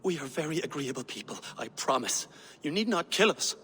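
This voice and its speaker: An actor playing a nervous, ingratiating captive stable hand in a video game, hesitant and placating, with some reverb. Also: male voice, sing-song, brisk, fairly bright tone. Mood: pleading. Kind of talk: cinematic video game acting. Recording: reverberant, faint rumble.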